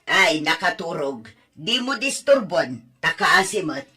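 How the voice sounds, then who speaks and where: A woman plays an aging mother in a radio-style family drama, her voice husky and breathy, her words drawn out slowly. She sounds bright and thin at the top. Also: deep voice, big pitch swings, full character voice. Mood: worried. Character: worried elderly mother-in-law. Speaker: mother-in-law of the husband's wife.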